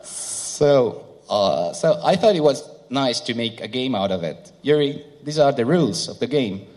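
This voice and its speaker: A man's voice: mid-range voice, lively intonation, darkish tone. Non-native English-speaking male seminar speaker. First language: not English. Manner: halting, with many fillers. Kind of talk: conference presentation with interpreter. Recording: hall reverb.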